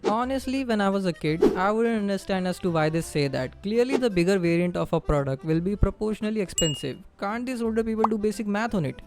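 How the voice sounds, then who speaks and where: A man's voice: high voice, animated, fast talker, few pauses, over music. A man from India with a strong Indian accent, narrating a scripted explainer and addressing the viewer directly.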